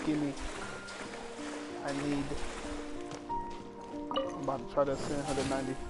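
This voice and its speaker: A man's voice: mid-range voice, completely flat delivery, unhurried. A man doing casual live gaming commentary, talking to viewers and muttering to himself. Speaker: game livestreamer. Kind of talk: casual live gaming commentary, reading game dialogue aloud. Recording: music playing behind the voice. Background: game music.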